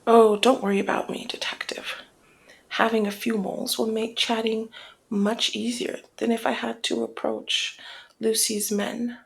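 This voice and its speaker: A woman playing a flirty, cunning female mob boss in an intimate ASMR roleplay, speaking in a teasing, deliberate murmur that often slips toward a whisper. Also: on the high side, level tone. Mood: teasing, confiding. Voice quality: breathy creaky murmur, often dropping to whisper. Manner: teasing, deliberate, drawn-out phrasing.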